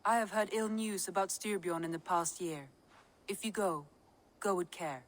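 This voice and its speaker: A woman's voice: on the low side, normal speed, natural voice. A woman playing a concerned, dignified Norse clanswoman and advisor in a video game, speaking earnestly and carefully. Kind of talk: dramatic video game acting.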